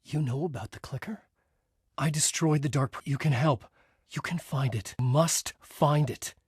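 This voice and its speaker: An actor playing a haunted, brooding writer trapped in a nightmare, in an intense, dramatic horror game delivery with a husky edge. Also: male voice, fairly high pitch, unhurried, a little mellow, no echo, clean studio sound. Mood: bewildered, desperate. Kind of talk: psychological horror game dialogue and brooding narration.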